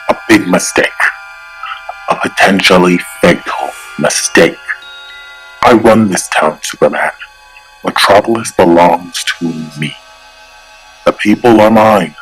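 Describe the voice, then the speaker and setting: A ruthless billionaire villain played by a man speaks in an extremely low, husky voice. He is pompous and domineering, weighing out each threat like a comic-book tyrant. Faint music plays behind him. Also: a bit bright, heavily acted, rough recording. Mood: menacing. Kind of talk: dramatic comic-book voice acting.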